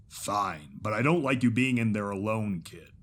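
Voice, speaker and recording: A man voicing a big, gruff, protective pony companion with a husky voice and a deadpan, grumbling manner. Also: fairly low pitch, a little slow. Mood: grudging concern.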